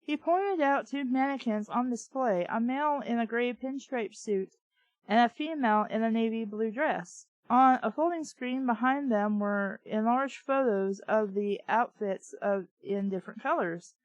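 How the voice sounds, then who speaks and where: A woman's voice: a little high, measured pace, dry recording, dull audio. A woman hosting a bad fiction show, reading a story aloud and breaking into blunt, sweary, exasperated commentary.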